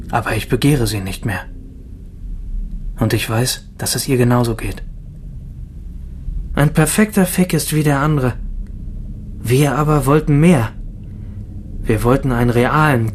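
Over a clear low rumble, a weary, disillusioned digital being, played by a man, tells his own story. His mid-pitched voice is introspective and restrained, with a bitter edge, in the manner of a radio play. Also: a little mellow, lightly acted. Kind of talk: first-person radio drama narration and dialogue.

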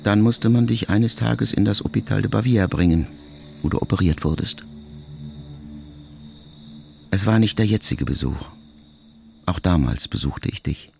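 An actor playing a wounded, reflective ageing son in an intimate radio drama monologue, speaking in a measured, gravelly voice. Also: male voice, on the low side, darkish tone, soft background music. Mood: reflective, troubled. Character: grieving ageing son addressing his dying mother, wounded, reflective.

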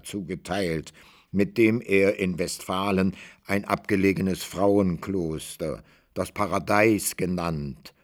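Slow, low-voiced male storyteller. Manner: measured, wry storytelling. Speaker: narrator. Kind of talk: scripted literary narration for radio drama.

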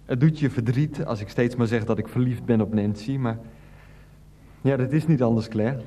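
Actor as mellow, awkwardly earnest husband. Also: male voice, medium pitch, lightly acted. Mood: awkward, sincere. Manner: halting, sincere, rambling. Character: earnest, awkward, clueless husband making video confession. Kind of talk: radio play monologue.

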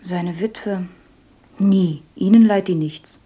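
A woman's voice: medium pitch, slow pace, husky. An actress playing a clever, devout young village woman in a radio drama, who talks directly and plainly. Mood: determined. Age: young adult. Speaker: miller's foster daughter in a radio play. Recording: slightly dull old radio recording.

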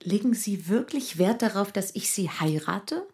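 An actress playing an indifferent, aloof young wife in a radio play, speaking in a cool, offhand way. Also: female voice, medium pitch, animated, unhurried, darkish tone. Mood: cool, resigned. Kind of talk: German radio play acting.

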